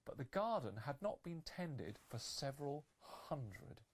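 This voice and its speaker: A woman's voice: deep voice, big pitch swings, measured pace, slightly rough. A woman telling a fairytale to children as a live storyteller, agreeable and improvising as she goes.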